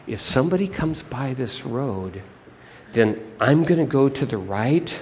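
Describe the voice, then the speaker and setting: A man voicing a greedy highway robber in a story, plotting in hushed, conspiratorial tones. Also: lively intonation, unhurried, long reverb tail, slight background noise, natural voice. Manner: hushed, conspiratorial, listing plans. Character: scheming male highway robber, menacing and greedy.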